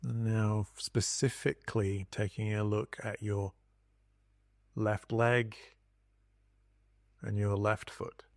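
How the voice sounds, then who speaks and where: A man leading a guided body scan meditation as a teacher, speaking in calm, gentle instructions with long pauses. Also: lowish voice, vocal fry, no room sound.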